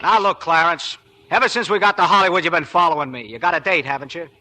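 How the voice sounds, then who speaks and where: An actor playing a cocky young male sailor and ladies' man in a 1940s radio play, brash and fast-talking. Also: a little high, pushed and bright. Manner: brash, fast-talking, crooning to his girl. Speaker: lead actor. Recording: old 1940s radio broadcast.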